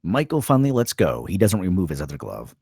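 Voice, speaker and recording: A man's voice: sits low, wildly swooping pitch, fast, gravelly, darkish tone, breathy edge, dry recording, clean studio sound. A man narrating stage directions dramatically at a screenplay table read.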